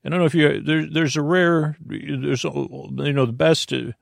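A man with a creaky voice hosting a sleep podcast in a lulling, meandering, hesitant monologue full of halting tangents. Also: on the high side, steady tone, no room sound, clean studio sound. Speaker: podcast host. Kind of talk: rambling, soothing bedtime podcast monologue.

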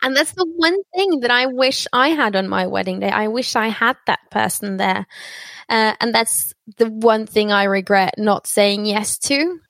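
A woman's voice: on the high side, unhurried, creaky, a bit bright, no room sound. A Norwegian-born woman with a British-sounding accent, a wedding planner talking in an interview in a chatty, reassuring way with frequent laughs. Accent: British-sounding English. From Norway. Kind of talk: podcast interview.

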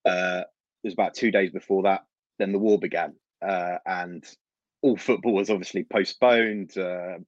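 Deep-voiced London man, dull dry call audio. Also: steady tone, dry recording. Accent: London English. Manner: measured, explanatory, with soft laughs and fillers. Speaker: football journalist guest. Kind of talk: casual interview chat.

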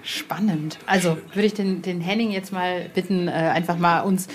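A woman talking casually as a podcast interviewer in an exhibition room, rephrasing haltingly as she thinks. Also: on the low side, steady tone. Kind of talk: casual, spontaneous conversation. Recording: clearly noisy indoor ambience.

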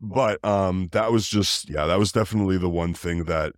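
A man who hosts a podcast, talking casually in a deep voice, rambling with fillers and restarts. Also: moderate pace, no echo, clean studio sound. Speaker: podcast host. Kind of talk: casual interview chat.

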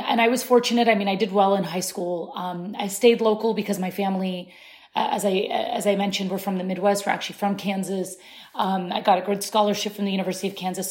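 Woman guest with thoughtful, halting delivery. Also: medium pitch. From Syria. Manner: thoughtful, many restarts and fillers. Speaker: interview guest. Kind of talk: conversational interview.

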